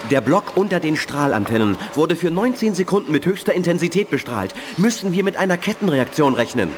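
An actor playing a decisive, protective agent with an insistent, clipped manner in a 1980s radio drama. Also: male voice, on the high side, sing-song, a little fast, background noise. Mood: commanding. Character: decisive male telepath agent, urgent and protective.

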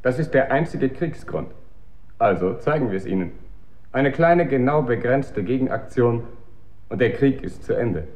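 Man playing smooth-talking army bureaucrat in a radio play, medium pitch, businesslike with a veiled threat. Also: expressive, normal speed, a little mellow, natural voice. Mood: businesslike, persuasive. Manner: businesslike, insinuating. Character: smooth-talking, quietly menacing male military administration official. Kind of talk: German radio drama acting.

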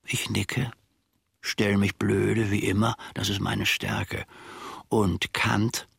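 A male actor in a German radio play, playing a sly, grumbling old manservant with a gravelly, husky voice and a wry, conspiratorial, self-satisfied way of telling things. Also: on the low side, fairly even intonation, takes it slowly, a bit bright, no echo, studio quality, full character voice. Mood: sly, confiding.

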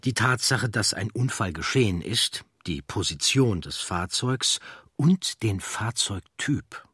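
A man reading scripted documentary narration in a measured, serious and factual manner. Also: lowish voice, animated, sharp tone, husky, no room sound, studio quality.

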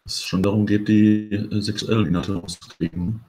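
A man's voice: on the low side, steady tone, moderate pace, warm tone. A man co-hosting a casual panel discussion on a podcast. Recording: clear background noise.

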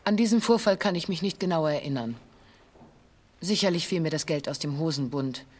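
A woman playing a former hostage giving a formal, measured witness statement in a radio documentary. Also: deep voice, expressive, a little mellow. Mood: matter-of-fact. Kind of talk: scripted testimony in a radio documentary.

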